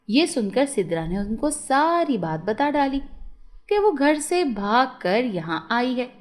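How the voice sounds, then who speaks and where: A woman's voice: a little high, a little mellow. A woman narrating a scripted moral story for children as a storyteller.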